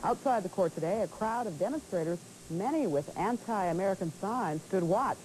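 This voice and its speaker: A woman's voice: mid-range voice, animated, normal speed, a little mellow, poor audio. A woman anchor reading scripted television news, heard from a hissy old broadcast tape.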